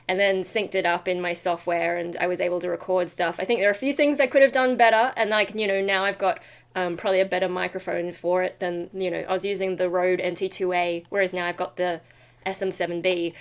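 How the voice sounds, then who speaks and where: A woman's voice: fairly low pitch, level tone, a little fast. Rambling, tired woman vlogger. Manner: tired, rambly, self-deprecating, trailing asides. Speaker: vlogger and metal vocalist. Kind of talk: rambling casual vlog chat.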